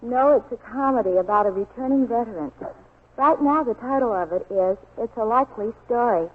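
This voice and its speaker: A woman's voice: a little high, fairly quick, breathy edge. A young woman as an interview guest giving a scripted testimonial on 1940s radio. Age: young adult. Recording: tinny, lo-fi 1940s radio recording.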